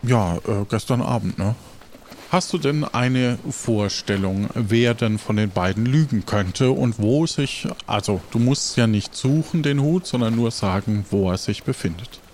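A man's voice: medium pitch, expressive, a little slow, hardly any pauses, a little mellow, slight background noise. A man hosting an audio role-play game as its game master and narrator. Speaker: game master, podcast host.

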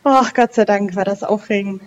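A woman's voice: mid-range voice, moderate pace. Woman, game player, chatty, flustered, casual improvisation, lo-fi sound, faint music underneath. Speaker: guest player in role-playing game. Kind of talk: casual improvised role-play chat. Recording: slightly dull lo-fi sound.

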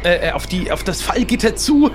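Animated, higher-voiced man role-playing. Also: brisk, over music. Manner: animated, thinking aloud. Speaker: player in an improvised pirate adventure. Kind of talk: improvised role-play in an adventure audio play.

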